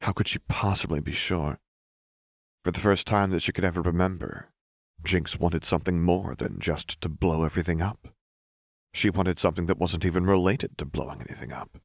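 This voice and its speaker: Male audiobook narrator, deep register, measured dramatic storytelling, dry studio-style sound. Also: creaky, dry recording. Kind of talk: scripted dramatic fiction narration.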